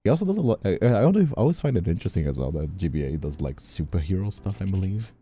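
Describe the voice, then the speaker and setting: A man's voice: deep voice, sing-song, racing, mellow, slightly rough, faint music behind. A man hosting a casual stream chat, venting in a rambling, exasperated way and often repeating himself. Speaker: streamer and host.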